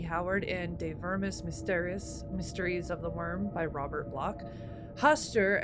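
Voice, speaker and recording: Female let's-play host, medium pitch, informal reader and commentator, music behind her. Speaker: gaming streamer. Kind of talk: game playthrough, reading on-screen text aloud with casual asides.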